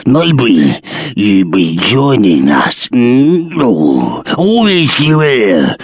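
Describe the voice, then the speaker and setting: A big, stupid, greedy troll for a video game. The voice is rough and husky, dragging along very slowly and lurching widely up and down. He talks bluntly, in broken, rustic grammar. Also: mid-range voice, huge pitch swings, dry recording, clean studio sound, full character voice. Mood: menacing. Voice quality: gravelly, husky. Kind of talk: video game character acting.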